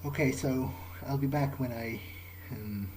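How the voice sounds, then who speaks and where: A man's voice: medium pitch, unhurried, background noise, lightly acted. A man roleplaying a wary, earnest vampire game character, muttering his thoughts hesitantly in an everyday voice. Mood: uneasy, musing. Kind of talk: in-character game playthrough commentary. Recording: loud game music behind.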